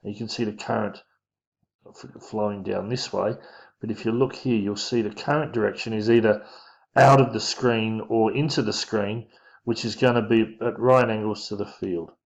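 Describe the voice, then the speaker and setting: A man giving an informal physics lesson, talking through diagrams in a casual, halting way as he thinks aloud. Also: fairly low pitch, fairly even intonation, vocal fry. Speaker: physics teacher. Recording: dull lo-fi microphone sound.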